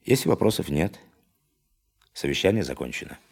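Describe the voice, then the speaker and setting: A formal man in charge in a radio drama. His voice is extremely deep, and he delivers official, authoritative statements as if briefing a team. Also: big pitch swings, moderate pace, creaky, a little mellow, husky, exaggerated voice. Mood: formal, commanding. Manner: official, declarative. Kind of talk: radio play acting.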